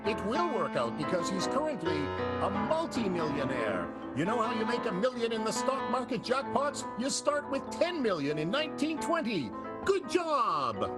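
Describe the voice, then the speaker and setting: A woman narrating a comic radio drama as announcer, wry and theatrical. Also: mid-range voice.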